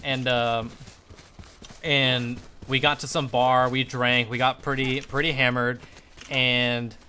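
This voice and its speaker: Bright-voiced young man telling stream stories. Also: mid-range voice, slow, a little hiss or hum. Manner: animated, slangy, swearing freely. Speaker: live streamer. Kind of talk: casual storytelling while gaming on a livestream.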